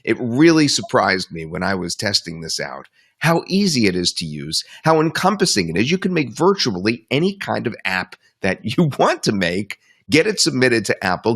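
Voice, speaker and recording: A man hosting an online webinar, talking up a product in an enthusiastic, rambling sales pitch. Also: a bit bright. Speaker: webinar host. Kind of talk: promotional webinar talk.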